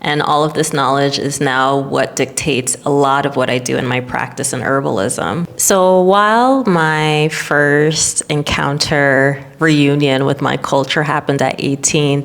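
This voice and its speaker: A woman with a notably low speaking voice talks straight to camera about her own life as an herbalist. She grew up in Florida and speaks in plain American English. Her delivery is candid and unhurried, with a reflective, personal tone. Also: vocal fry. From Florida, United States.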